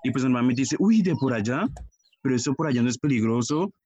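A man's voice: mid-range voice, animated, fast, mellow, a little hiss or hum. Dull lo-fi recording of a Colombian man. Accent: southwestern Colombian. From Puerto Tejada, Colombia. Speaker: podcast guest. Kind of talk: casual chat. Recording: dull, lo-fi, dry sound.

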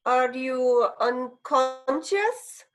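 Seductive Russian woman, high swooping voice, unhurried, coy, mysterious, vintage radio mystery. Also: expressive, faint music behind, exaggerated voice. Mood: seductive menace. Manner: coy, speaks of herself in third person. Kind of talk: 1940s radio drama acting.

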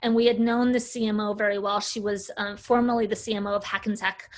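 A woman in her forties, an agency founder, telling her career story in a relaxed interview with frequent "uh"s. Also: mid-range voice, fast. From United States. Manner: chatty, fluent storyteller with filler "uh"s. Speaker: agency CEO, guest. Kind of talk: casual interview talk.

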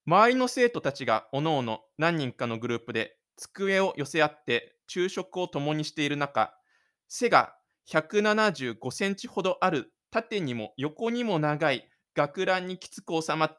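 A man with a fairly high, clean and smooth voice presents a homemade audio drama. He speaks as host and narrator, in a casual radio-show way or reading from his script. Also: animated, a little slow, a bit bright. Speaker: host and writer of a self-made audio drama.

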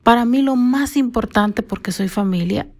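A woman's voice: a little high, normal speed, darkish tone. A woman from the Dominican Republic hosting her own podcast, talking alone to her listeners in careful, formal speech shaped by training in broadcasting. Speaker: podcast host. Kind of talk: solo podcast monologue.

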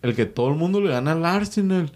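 A man's voice: on the high side, expressive, quick pace, a little mellow, slight background noise. A man with a Mexican Spanish accent, joking and teasing his friends in a casual football chat.

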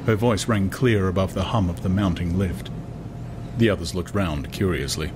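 A man narrating a novel as a dramatized audiobook in a measured, deliberate way. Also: low voice, background noise.

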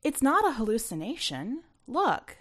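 A bold teenage girl from a desert story, voiced by a woman narrator. She is brisk, bossy and teasing, with a bright, forceful voice. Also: on the high side, sing-song, voice pushed up, exaggerated voice. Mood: dismissive, alert.

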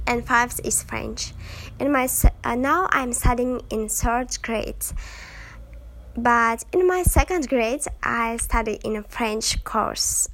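Slow-talking young Kazakh woman podcaster. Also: a little high, a bit bright. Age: 20s. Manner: halting, searching for words with many fillers. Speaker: podcast host. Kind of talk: casual solo podcast.

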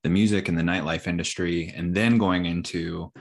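A man's voice: low-pitched, steady tone, normal speed. A man hosting a podcast, asking questions in a casual, tentative, conversational way. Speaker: podcast host, interviewer.

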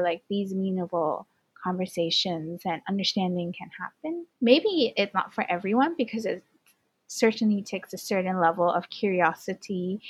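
A woman's voice: mid-range voice, breathy edge, no room sound. Young woman, earnest guest, lots of "like", dry close recording. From Hong Kong. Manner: earnest, many fillers like "you know" and "like". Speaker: guest, community farm organizer. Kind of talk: casual interview conversation.

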